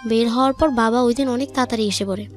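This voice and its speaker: A woman's voice: on the high side, steady tone, quick pace, faint music behind. A young woman with a Bangladeshi accent, a guest telling her own life story in informal speech. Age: young adult.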